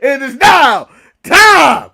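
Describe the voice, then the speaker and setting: High-pitched American man bantering. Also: extremely slow, creaky, raised voice. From United States. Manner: animated, repeats phrases for emphasis. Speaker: wrestling podcast co-host. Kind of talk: casual banter and wrestling commentary.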